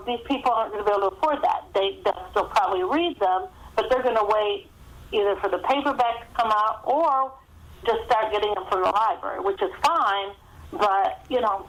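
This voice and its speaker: A woman speaking as a guest author, giving conversational interview answers over a thin phone connection. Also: a little high. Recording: thin phone-line sound.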